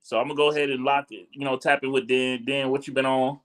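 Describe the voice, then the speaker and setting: A man's voice: mid-range voice, little pitch movement, fast talker, fairly bright tone. A man hosting a gaming podcast, teasing his co-host and joking around in casual chat. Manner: joking, teasing, laughs a lot.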